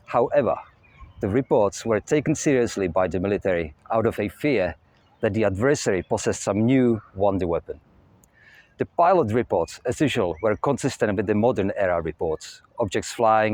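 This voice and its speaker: A young man with a Czech accent, hosting his own channel and telling stories in a steady, matter-of-fact way. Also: on the low side. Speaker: channel host. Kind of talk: scripted narration. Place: outdoors near a castle.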